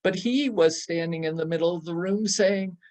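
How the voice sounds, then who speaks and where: A man reading literary prose as a storyteller, in measured, deliberate phrases. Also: sits high, a little mellow. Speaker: reader in a church readers theatre.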